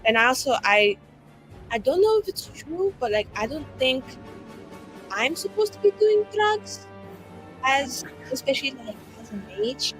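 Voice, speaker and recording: A woman's voice: high-pitched, very animated, measured pace, fairly bright tone, faint music behind, full character voice, rough recording. A woman playing a tipsy, chatty young mage in a tabletop game, rambling and effusive in informal language. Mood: tipsy, earnest.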